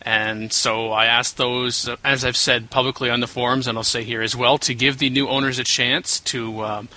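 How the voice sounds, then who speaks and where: A man's voice: mid-range voice, flat delivery, normal speed, pushed and bright, rough recording. A man co-hosting a casual podcast, joking around and then explaining things at length. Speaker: guest co-host.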